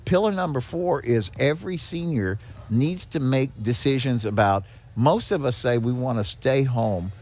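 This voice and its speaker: Older male podcast guest, medium pitch, folksy and emphatic, conversational explainer who drives points home by repeating them. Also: normal speed, a little mellow. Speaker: podcast guest, head of a seniors' nonprofit. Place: on location at a garage.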